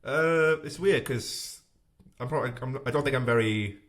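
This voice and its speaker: A man in his thirties hosting a podcast interview, talking in a thoughtful, hesitant way with many pauses and fillers. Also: medium pitch, fairly bright tone. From Wales. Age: 30s. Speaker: podcast host.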